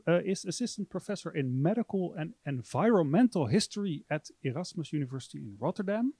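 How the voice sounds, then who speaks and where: A man's voice: a little high, darkish tone, dry recording. A man in his thirties hosting a university lecture, speaking hesitantly with many fillers into a handheld mic in a library. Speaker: host. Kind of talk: introducing a public lecture.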